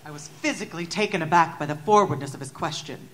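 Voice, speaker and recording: A woman's voice: low voice, quick pace, slight background noise, natural voice. A woman playing an earnest, wary witness to horrors, speaking in formal, carefully worded language in a Gothic audio drama. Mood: unsettled. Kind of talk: dramatic Gothic audio story narration and dialogue.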